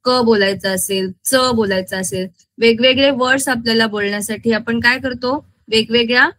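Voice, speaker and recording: A woman with a Maharashtrian accent teaches a school science class in a bright, crisp-edged voice. Her pitch sits fairly high and the sound is dry. She explains step by step, throwing out short questions to check understanding. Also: fairly even intonation, moderate pace, no echo. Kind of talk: interactive classroom lecture mixing Marathi and English terms.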